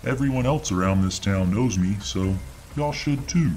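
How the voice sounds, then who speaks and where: A man's voice: lowish voice, normal speed, a little mellow, slight background noise, voice pushed up, lightly acted, poor audio. A man voicing a friendly, hulking male town hero with a drawl, calling out in a hearty neighborly way. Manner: hearty, neighborly, slightly pleading.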